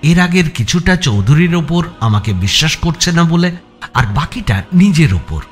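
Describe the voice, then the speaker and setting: Animated male thriller narrator, highish voice. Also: normal speed. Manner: expressive, suspenseful storytelling. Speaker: narrator of an audio story. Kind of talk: dramatic first-person scripted narration. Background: background music.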